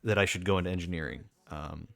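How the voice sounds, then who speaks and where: A young man with a deep voice, talking casually and rambling with lots of fillers and restarts as a streamer. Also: steady tone, quick pace, creaky, fairly bright tone, dry recording. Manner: rambling, self-correcting, many fillers.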